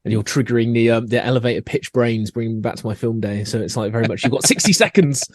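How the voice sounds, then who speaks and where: A man with a Scottish accent, a game designer being interviewed on a podcast, talking in a lively way and often repeating himself as he corrects his words. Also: mid-range voice, fast talker, no echo.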